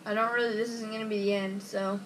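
A man's voice: high voice, little pitch movement, fast, recorded from a distance. A teenage boy with an American accent chatting casually to viewers in a rambling, slangy way. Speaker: vlogger. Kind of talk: casual vlog chat to viewers. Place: small bedroom with bunk bed, indoors. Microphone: camera microphone a short distance away. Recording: noisy camera microphone in a room.

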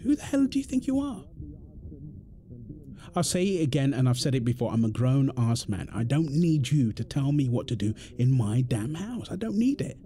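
A man's voice: medium pitch, very animated, moderate pace, warm tone, husky. A British man acting an exasperated, protective husband in a confrontational audio roleplay drama, speaking emphatically. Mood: angry, fed up. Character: exasperated, protective husband arguing with his overbearing mother-in-law. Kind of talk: ASMR roleplay drama monologue.

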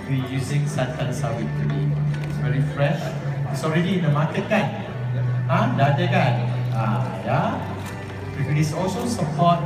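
An older man with a Malaysian accent, a chef chatting to a live crowd as he demonstrates cookware, mixing Malay and English and asking the audience questions. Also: a little high. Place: large hotel event hall, indoors. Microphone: far phone camera. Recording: roomy and distant with loud music.

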